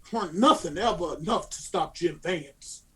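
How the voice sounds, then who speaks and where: Proud, respectful Southern freedman acted by a man for a radio play, high-pitched voice, folksy and sincere. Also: unhurried, faint music behind, close to an everyday voice. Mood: wounded, defiant. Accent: American Southern. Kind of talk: radio drama acting.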